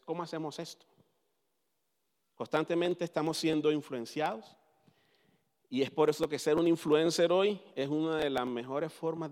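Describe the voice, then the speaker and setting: A man whose first language is English, preaching a sermon in Spanish in an animated way and asking the audience questions. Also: fairly high pitch, big-room sound. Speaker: pastor.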